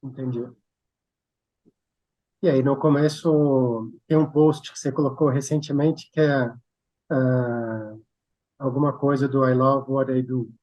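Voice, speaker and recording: A man's voice: medium pitch, fairly even intonation, takes it slowly, long pauses, dull audio. A man interviewing a guest, asking long, rambling questions with restarts and tag questions. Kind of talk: interview conversation.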